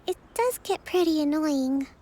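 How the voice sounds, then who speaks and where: A woman voicing a gentle, composed but weary young island leader in a video game, speaking in a measured, formal way. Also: high-pitched, animated. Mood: weary, placating.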